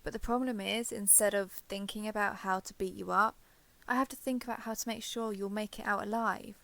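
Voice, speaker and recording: A woman playing a shy, guarded former villain turned ally in an intimate romance audio roleplay, halting, defensive and awkwardly sincere. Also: mid-range voice, steady tone, gravelly, bright, forceful tone. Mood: grudging, defensive.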